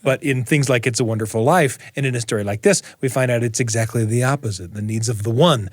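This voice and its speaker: Male radio host and storyteller, mid-pitched, conversational, closely recorded. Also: fast, dry recording, studio quality. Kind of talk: chatty radio discussion and personal storytelling. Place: radio studio.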